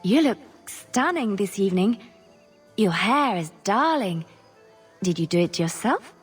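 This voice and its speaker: An elegant, sly woman of intrigue from a fantasy game. Her husky voice moves expressively over faint music. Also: mid-range voice, expressive, measured pace. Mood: sly politeness. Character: elegant, sly, soft-spoken lady agent. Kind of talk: video game cutscene acting.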